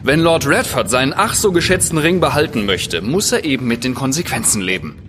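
An actor playing an irritable, self-important Scotland Yard inspector in a German audio drama, brusque and commanding. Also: male voice, mid-range voice, expressive, a little fast, background noise, lightly acted. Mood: stern, testy.

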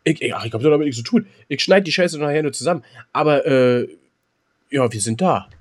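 A man's voice: fairly high pitch, animated, fairly quick. A man chatting casually as a podcast co-host, repeating words and joking dryly. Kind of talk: casual chat.

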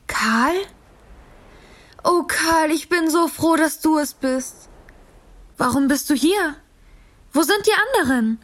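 A woman's voice: high voice, lively intonation, slow pace, pauses often, a bit bright, full character voice. A woman playing a frightened young girl in a radio play, urgent and pleading. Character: frightened young girl hiding, armed with knife.

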